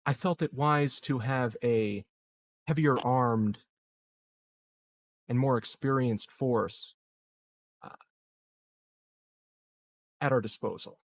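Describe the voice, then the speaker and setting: Man playing a measured, evasive colonial leader. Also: medium pitch. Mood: measured, guarded. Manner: formal, measured, halting diplomatic phrasing. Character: calculating, evasive male colonial leader, smooth politician. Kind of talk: improvised tabletop roleplay dialogue.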